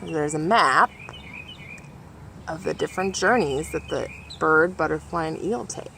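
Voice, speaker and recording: A woman's voice: low voice, expressive, a little slow, vocal fry, pushed and bright, a little hiss or hum. A woman reading a children's picture book aloud, explaining things directly to her listeners as she goes. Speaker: storybook reader for a nature organization.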